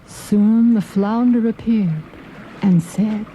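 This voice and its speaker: Woman reading a fairytale, super mellow dark tone, slow, bit of noise behind. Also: medium pitch, rough recording. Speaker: narrator. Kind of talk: scripted storybook narration for English learners.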